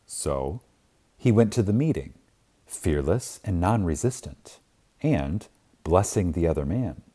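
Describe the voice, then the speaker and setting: A man with a low, mellow voice reads a spiritual book aloud for an audiobook. He takes his time, leaning on words with reverent emphasis and long pauses. His intonation rises and falls widely. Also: slightly rough. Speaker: audiobook reader. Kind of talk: reading a spiritual self-help book aloud.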